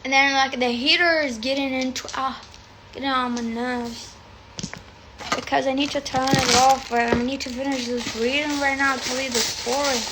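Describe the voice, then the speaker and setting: A woman's voice: high-pitched, steady tone, normal speed, noisy background. A woman whose first language is Arabic, chatting casually as a tarot reader on a phone livestream from a room at home, rambling and swearing freely.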